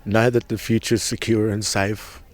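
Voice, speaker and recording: A man's voice: fairly low pitch, little pitch movement, normal speed. A man giving an earnest, impassioned personal account as a protester, often repeating and restarting his words. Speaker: protester at a sacred site camp.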